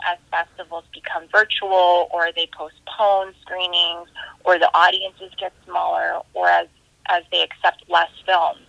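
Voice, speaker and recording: A woman's voice: on the low side, sharp tone, dry recording, rough recording. A woman filmmaker giving thoughtful, conversational answers in a casual interview. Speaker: independent filmmaker being interviewed.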